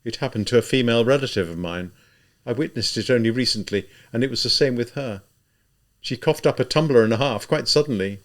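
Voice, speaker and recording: A man's voice: mid-range voice, a little fast, natural voice. A man doing a worried, kindly civil servant. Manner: hushed, worried muttering.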